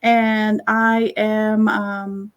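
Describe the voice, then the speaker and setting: A middle-aged woman from the Philippines, a guest chatting on a video call, talking warmly with many fillers and soft laughs. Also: mid-range voice, flat delivery, drawn-out words, dull audio. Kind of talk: casual interview chat.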